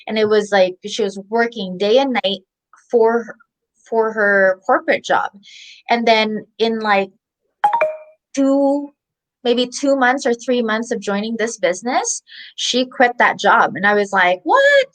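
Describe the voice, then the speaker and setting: A woman in her thirties speaks as an interview guest, her voice sitting somewhat high. She talks in a chatty, easygoing way, often restarting mid-sentence. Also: lively intonation, a little slow, a bit bright, poor audio. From Philippines. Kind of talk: casual interview.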